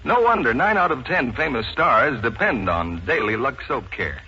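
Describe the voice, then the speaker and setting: Man announcing an old-time radio commercial: mid-range voice, lively delivery, muffled archival recording. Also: mid-range voice, moderate pace, slightly rough. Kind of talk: 1940s scripted radio advertising. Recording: dull 1940s radio broadcast recording.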